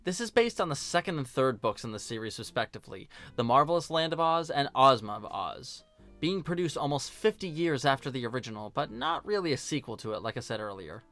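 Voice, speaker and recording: A man hosting a film review video, narrating a scripted essay in a conversational way with wry, deadpan asides. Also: mid-range voice, brisk, soft background music.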